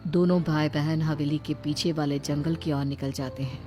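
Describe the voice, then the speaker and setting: A woman's voice: deep voice, steady tone, moderate pace, dark tone, faint music behind. A woman reading scripted narration for a cartoon horror tale as its storyteller.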